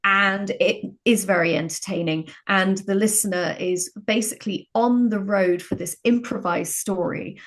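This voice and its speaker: A woman's voice: medium pitch, steady tone, normal speed, hardly any pauses. A woman talking casually and explaining things as a guest game writer on a panel.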